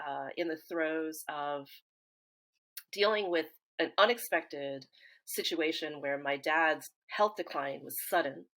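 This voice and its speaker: A woman from the US East Coast, a guest in an interview, speaking in a reflective, measured way with frequent fillers and restarts. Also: sits low, a bit bright, low-quality recording. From East Coast of the United States. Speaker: interview guest, grief support founder.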